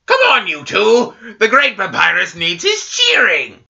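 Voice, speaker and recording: A woman plays an excitable, pompous skeleton brother in a cartoon comic dub. The delivery is theatrical and hugely swooping, with a forceful, gravelly edge. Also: mid-range voice, measured pace, highs cut off, full character voice. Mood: fretful. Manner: theatrical, exclaiming. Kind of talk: fan comic dub acting.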